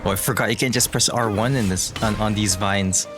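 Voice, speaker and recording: A man streaming a game, playful and giggly, thinking out loud as he goes. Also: on the low side, brisk, music in the background. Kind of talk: casual gameplay chat.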